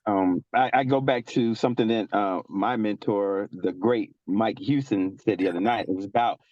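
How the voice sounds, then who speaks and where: A man's voice: lowish voice, moderate pace, no echo. A man on a team video call telling a story, thoughtful and winding, with plenty of fillers. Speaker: real estate agent on a team call. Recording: dull, dry lo-fi audio.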